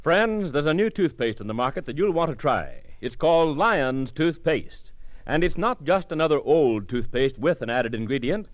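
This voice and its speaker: A male commercial announcer giving an emphatic 1940s radio sales pitch. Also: big pitch swings, moderate pace, no room sound.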